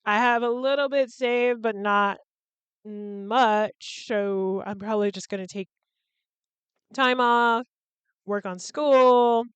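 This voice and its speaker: Young woman, podcast host, slow unhurried talk, dry close sound. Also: a little high, steady tone, smooth, dry recording. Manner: rambling and candid, with frequent "like" and casual swearing. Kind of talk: solo podcast chat.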